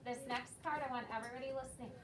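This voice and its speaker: A high-pitched American woman teaches a class of children with simple explanations and questions. She sounds distant from the microphone in an echoey room, with noise around her. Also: fairly even intonation, very fast, crowd noise. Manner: simple explanations with prompting questions and brief praise. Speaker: elementary school teacher.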